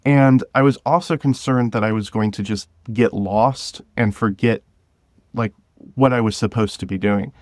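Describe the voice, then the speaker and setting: A man with a fairly low voice, recorded dry with hardly any room sound. He talks casually as an interview guest, feeling his way through stories in a halting manner, with the odd chuckle. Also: a little mellow, no echo. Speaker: guest, director of an audio drama podcast.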